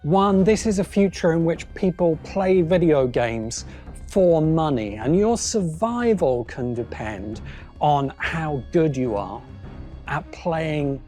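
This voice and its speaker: A man narrating a scripted video essay as host, phrasing deliberately with pauses for emphasis. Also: fairly high pitch, expressive, mellow, faint music behind. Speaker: host, science fiction critic.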